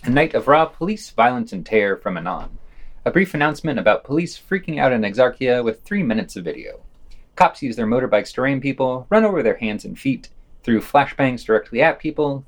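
A man's voice: medium pitch, big pitch swings, a little fast. A man hosting a podcast, reading a scripted news digest with quotes and his own commentary.

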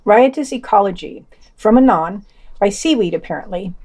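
A woman's voice: mid-range voice, animated, normal speed, a little mellow. Female podcast host, middle register, reads news items and quotations from a script. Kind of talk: scripted news roundup with quotes and commentary.

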